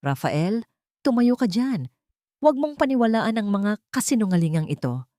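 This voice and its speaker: A woman's voice: medium pitch, very animated, moderate pace, dark tone, dry recording, studio quality, voice pushed up, natural voice. A Filipino woman playing a desperate, scheming woman in an audio drama, pleading and agitated. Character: desperate, scheming woman exposed before high society.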